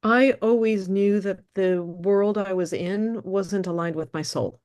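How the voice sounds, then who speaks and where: A woman's voice: medium pitch, measured pace, a little mellow. A woman with an upstate New York accent, a wellness coach speaking as an interview guest in an earnest manner. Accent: American, upstate New York. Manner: earnest, laughs easily. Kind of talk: conversational interview.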